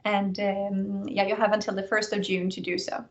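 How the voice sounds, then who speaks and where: A woman's voice: mid-range voice, flat delivery, moderate pace. A woman from an admissions office answering questions on a video call. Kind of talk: answering questions in an online session. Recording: distant mic on online call.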